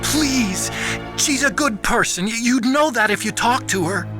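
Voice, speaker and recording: An actor playing a nervous, earnest, soft-hearted young male scientist in a video game, with a breathy high voice. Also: animated, a little slow, music in the background. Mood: pleading. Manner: anxious, pleading.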